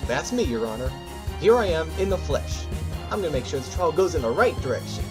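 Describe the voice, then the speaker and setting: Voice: medium pitch, sing-song, fairly quick, over music, lightly acted. An actor playing a brash, cocky surprise witness in a courtroom audio drama, combative and insulting in an informal way. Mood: defiant.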